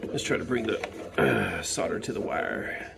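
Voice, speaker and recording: A man's voice: fairly low pitch, wildly swooping pitch, unhurried, vocal fry, noisy background. A man chatting casually to his son while repairing a kids' electric quad in his home garage, joking and talking to himself as he works. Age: adult father. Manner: chatty, jokey, talks to himself while working.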